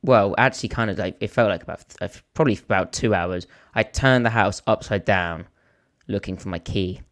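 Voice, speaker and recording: A hoarse man co-hosting a podcast, telling rambling stories that grow animated. His voice sits a little low. He talks in a loose, casual way. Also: brisk. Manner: rambling storyteller, animated when telling a story. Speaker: podcast co-host. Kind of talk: casual chat.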